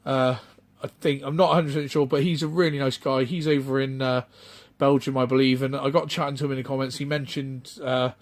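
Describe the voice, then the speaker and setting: A middle-aged man, chatting off the cuff in a rambling, halting way full of ums and restarts. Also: mid-range voice, level tone. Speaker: retro game collector vlogger. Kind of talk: casual off-the-cuff vlog chat.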